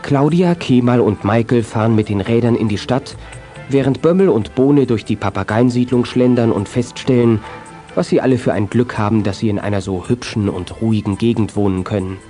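A man's voice: medium pitch, fairly quick, warm tone, faint music behind. A man narrating a children's radio play in a scripted storytelling style.

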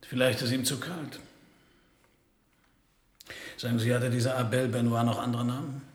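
An actor playing a dry, wisecracking middle-aged private detective in a radio crime drama. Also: male voice, mid-range voice, little pitch movement, moderate pace, reverberant, lightly acted. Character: sardonic hard-boiled middle-aged male private detective, former anarchist. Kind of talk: radio crime drama dialogue and first-person narration.

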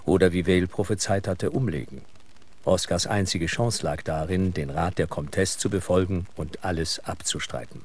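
A male narrator tells a scripted radio drama. His voice is very low and distinctly husky, with a rough grain to it. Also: normal speed.

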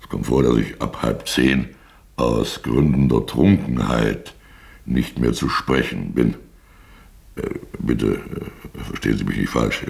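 Very deep, gravelly, tipsy old-school lawyer. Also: male voice, measured pace, darkish tone, exaggerated voice. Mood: wry, rambling. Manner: rambling, theatrical. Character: Lebedew, tipsy disbarred lawyer, eccentric, wryly self-mocking. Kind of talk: German radio play acting.

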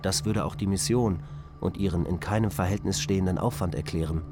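A man voicing a calm male onboard ship computer that tells a bedtime fairy tale in a measured, matter-of-fact way. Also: deep voice, background noise. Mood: musing. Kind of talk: German radio drama acting. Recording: radio play with music underneath.

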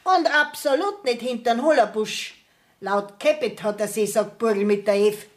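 A woman's voice: fairly high pitch, moderate pace, natural voice. A middle-aged woman playing an indignant, stubborn village widow in a dialect radio play, scolding emphatically with a Bavarian accent. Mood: insistent. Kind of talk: dialect radio drama acting.

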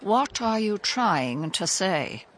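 A woman's voice: mid-range voice, sing-song, slow, a bit bright, exaggerated voice. A woman playing a genteel, guarded elderly country lady in a radio drama, speaking with emphatic propriety. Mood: guarded, upset. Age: older.

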